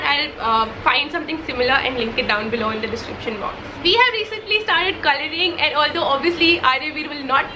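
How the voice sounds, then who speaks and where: A woman's voice: high voice, noisy background. A young woman whose first language is Hindi, a mother chatting enthusiastically about favourite baby products, with many fillers and restarts. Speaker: vlogger, mother. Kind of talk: casual product favourites vlog.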